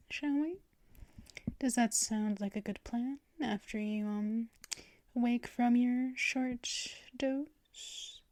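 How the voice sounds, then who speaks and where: A doting, possessive vampire mistress, played by a woman, murmurs at a very slow, unhurried pace, often slipping into hushed whispers and soft shushing. Her voice sits a little high and is caught up close and dry, with no room around it, in the manner of an ASMR roleplay. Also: no room sound. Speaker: ASMR performer.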